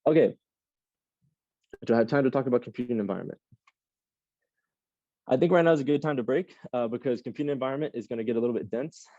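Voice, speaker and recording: A young man teaching a live online class on computing clusters, a casual speaker who rambles with lots of ums and restarts and sounds slightly hoarse. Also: mid-range voice, fast, pauses often, warm tone, no echo, muffled recording. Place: classroom with a Zoom audience.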